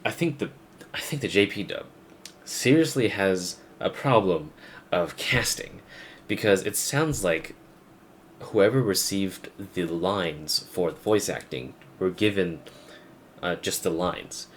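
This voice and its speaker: A man's voice: low-pitched, expressive, takes it slowly. A young man giving a casual, blunt, rambling, profane rant as a gaming video creator. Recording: faint background noise.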